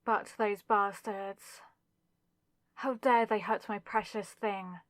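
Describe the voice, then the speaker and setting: A woman playing a possessive, lovesick demonic villainess in a dramatic ASMR audio roleplay, speaking with intense, deliberate pauses. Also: mid-range voice, steady tone, a bit bright, no echo. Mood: furious.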